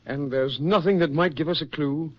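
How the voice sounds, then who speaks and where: A man's voice: fairly high pitch, moderate pace, lightly acted. A man acting a concerned, sympathetic hospital colleague, earnest and questioning, in a 1940s radio drama. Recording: muffled 1940s radio recording.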